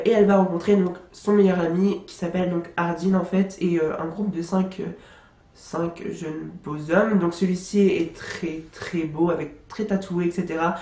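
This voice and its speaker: A woman's voice: on the low side, mellow. A young woman vlogger with a stuffy nose from a cold, chatting casually about books she loves, with lots of fillers and restarts. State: congested with a cold. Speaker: book vlogger. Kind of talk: casual book review monologue. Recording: echoey room.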